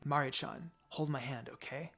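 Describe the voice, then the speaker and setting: A man voicing a calm, tough young ninja girl in a story reading, in a quiet, cool way. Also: mid-range voice, normal speed. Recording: music behind the voice.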